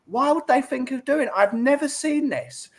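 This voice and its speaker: A man's voice: very high voice, normal speed. A man, hosting a casual chat show over a video call. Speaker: host. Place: home room with brick wall.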